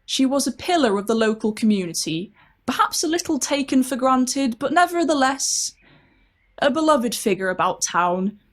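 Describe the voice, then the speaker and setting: Woman reading a story out loud, kinda high voice, really animated up and down. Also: moderate pace. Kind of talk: scripted dramatic story narration.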